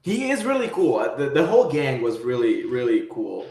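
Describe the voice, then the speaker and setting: A man's voice: mid-range voice, wildly swooping pitch, moderate pace, darkish tone, reverberant. A man from Brazil, a podcast guest, explaining things casually and often repeating words as he goes.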